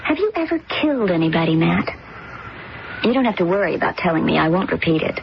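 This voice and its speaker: A woman's voice: lowish voice, sing-song, quick pace, vocal fry. An actress playing a young rich woman who coolly plots a murder in a radio drama. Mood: calculating. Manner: cool, calculating, insistent. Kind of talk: 1970s radio drama acting. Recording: dull old radio broadcast, noisy.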